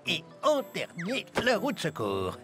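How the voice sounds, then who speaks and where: Pompous, high-swooping cartoon hunter. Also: male voice, wildly swooping pitch, a little slow, music in the background, exaggerated voice. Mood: grumbling, flustered. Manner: pompous, blustering. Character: pompous, blustering grumpy amateur hunter. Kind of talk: French children's cartoon acting.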